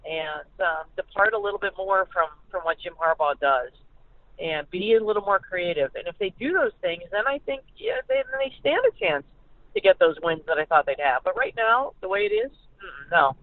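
A woman's voice: fairly low pitch, animated, normal speed. A woman sports writer giving conversational analysis as a guest, thinking aloud somewhat hesitantly over a phone call. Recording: band-limited phone line.

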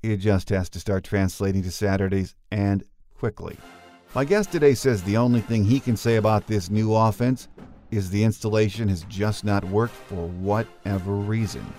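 Low-voiced man hosting a sports podcast. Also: mellow, soft background music. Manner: earnest, measured, fan-like opinion. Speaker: podcast host. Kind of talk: scripted sports commentary and interview.

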